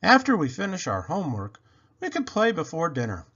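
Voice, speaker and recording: Animated man reading a children's book aloud. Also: a little high, brisk, slightly rough. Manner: animated, playful emphasis. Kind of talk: expressive read-aloud of a children's picture book. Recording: dull, lo-fi sound.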